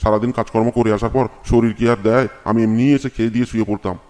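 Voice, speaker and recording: A man's voice: lowish voice, fairly even intonation, fast talker, warm tone, natural voice. A man playing an aggrieved, stubborn village husband in a comedy cartoon, whining defiantly in crude words. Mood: complaining.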